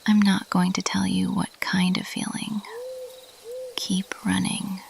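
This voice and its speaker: A woman voicing a mischievous, playfully elusive bat monster girl in a teasing, intimate, breathy whisper for an ASMR roleplay. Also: medium pitch, monotone. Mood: flustered, coy. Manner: slow, teasing, intimate, lingering on pauses. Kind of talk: ASMR roleplay, addressed to the listener.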